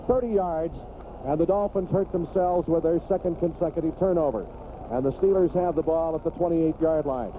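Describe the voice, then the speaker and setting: High-pitched male football announcer on tinny radio. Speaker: play-by-play sports announcer. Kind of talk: live American football radio commentary. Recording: noisy tinny 1980s radio broadcast.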